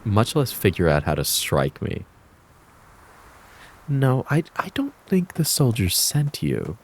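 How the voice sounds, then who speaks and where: A man voicing a menacing, mocking kitsune fox spirit in an ASMR roleplay, taunting and theatrical with a drawn-out predatory purr. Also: medium pitch, a little mellow, full character voice. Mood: scornful amusement.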